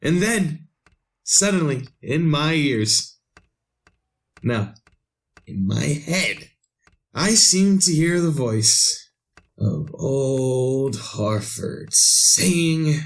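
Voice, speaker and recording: An actor playing an excitable, power-hungry madman in a halting, melodramatic 1930s radio drama style. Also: fairly high pitch, heavily acted. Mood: awed, eerie. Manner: melodramatic, halting, breathless exclamations. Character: excitable, power-hungry madman narrating his eerie tale.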